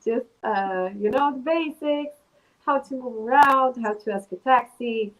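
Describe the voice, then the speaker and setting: A woman in her twenties from Chile, answering interview questions in a relaxed, chatty way with lots of fillers. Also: on the high side, unhurried, rough recording. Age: 20s. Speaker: guest.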